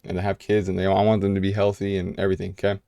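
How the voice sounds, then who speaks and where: Laid-back low-voiced young man. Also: completely flat delivery, rapid-fire, gravelly, mellow, no room sound, clean studio sound. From United States. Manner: casual, filler-heavy with many "you know"s and restarts. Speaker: vlogger answering viewer questions. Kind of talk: casual Q&A vlog.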